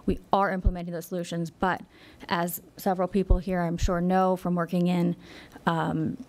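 A woman in her thirties answering questions at a town council meeting from a desk microphone, measured and a little apologetic, with frequent fillers. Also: on the low side, a little mellow. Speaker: company representative. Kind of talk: public meeting presentation.

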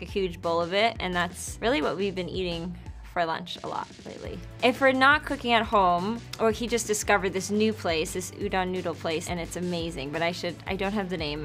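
A woman's voice: medium pitch, a bit bright. Chatty young woman with a light laugh. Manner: chatty, laughs often, filler "like". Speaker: interviewee. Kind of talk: casual chat to camera. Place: indoor studio before a dark backdrop.

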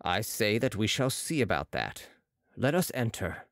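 A reader voicing a solemn, mysterious Italian master who speaks gravely and quietly in an audiobook. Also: medium pitch, sing-song, measured pace, dry recording, studio quality. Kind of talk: audiobook character reading.

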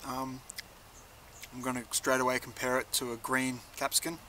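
A man's voice: mid-range voice, little pitch movement, normal speed, bright, forceful tone, slight background noise, low-quality recording. A young man giving a casual, laid-back review to camera in a conversational way. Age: young adult. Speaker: chilli reviewer, video host. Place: outdoors in a leafy garden.

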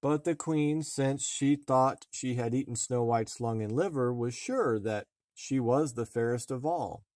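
A man reading a storybook aloud in measured, deliberate narration. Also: mid-range voice, a little mellow, no room sound. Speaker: storybook reader, a father. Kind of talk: read-aloud fairy-tale narration.

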